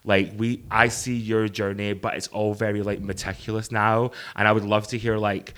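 A man with a croaky voice, lower than most, talks in a loose, rambling way. He fills his sentences with ums and little laughs as he chats. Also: level tone, normal speed, fairly bright tone. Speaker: drag performer and show producer. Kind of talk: casual podcast chat.

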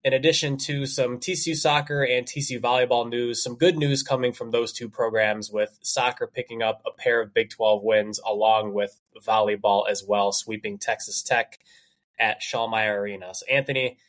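A man's voice: medium pitch, steady tone, moderate pace, a bit bright. A man sounds a bit stuffed up from allergies as he hosts a casual sports podcast. He keeps an upbeat host patter and runs through sponsor reads into a podcast mic. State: congested from allergies.

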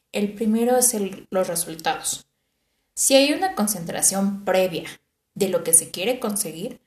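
Female lecturer, measured explanatory delivery with frequent fillers, roomy sound. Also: medium pitch, a little mellow. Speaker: teacher explaining language learning.

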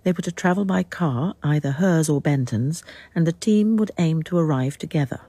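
An actress as a calm, detached narrator of a radio drama, with measured literary delivery. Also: female voice, deep voice, no room sound. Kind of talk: scripted third-person narration, radio drama.

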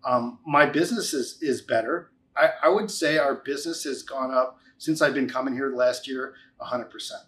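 A man's voice: medium pitch, normal speed, hardly any pauses, fairly bright tone. A man in his forties talking conversationally as an interview guest, giving a testimonial with emphatic, repeated key phrases. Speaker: interview guest, financial advisor.

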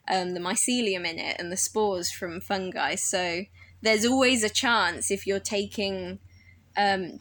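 An eager woman horticulturist talks through her garden plans on a podcast. She sounds openly nerdy and enthusiastic, and her voice sits at a middle pitch. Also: bright, forceful tone, no echo. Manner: enthusiastic, self-described nerd. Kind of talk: podcast conversation.

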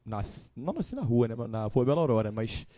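A man in his early twenties with a Carioca Portuguese accent, telling his story as a guest in a casual live-stream chat, relaxed and full of fillers. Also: mid-range voice, a little fast, no room sound, studio quality. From Rio de Janeiro, Brazil. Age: 20s. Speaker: guest musician.

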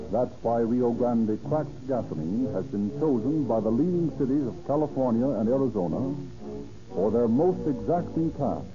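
An American man announcing in a formal, emphatic 1930s radio style, selling and narrating from a script. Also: medium pitch. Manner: formal, emphatic salesman-like delivery. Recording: dull old radio recording.

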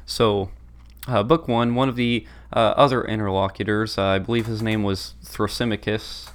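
Man with a lowish voice, measured podcast co-host who explains steadily with the odd filler. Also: fairly even intonation, clean tone. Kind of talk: casual discussion podcast.

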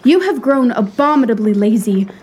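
A woman's voice: a little high, expressive, moderate pace, a little mellow, slight background noise, natural voice. A woman playing a proud, frank young lady artist in a period audio drama, with formal, old-fashioned phrasing. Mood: scornful, reproachful.

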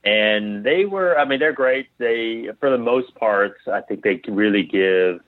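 Man, lowish pitch, casual interview guest, searching phrasing, tinny phone-call sound. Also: measured pace, no echo. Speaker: guest, founder of a geek apparel company. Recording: thin phone-like call audio.

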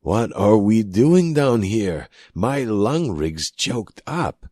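Man acting a grumbling greedy scrapper, pitched up. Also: slow pace, no room sound, clean studio sound, exaggerated voice. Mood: grumbling, complaining. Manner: coughing, snarling, excitable. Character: wary scrapper with a choked lung rig, grumbling and greedy.

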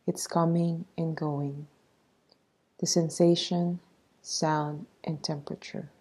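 A woman leading a guided meditation in a soft, breathy voice, soothing and measured as she gives instructions. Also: sits low, level tone.

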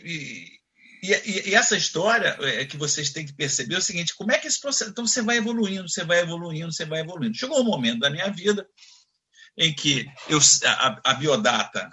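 Animated high-voiced man telling stories. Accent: Carioca Portuguese. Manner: animated, acts out voices in anecdotes. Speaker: guest. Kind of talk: storytelling interview talk.

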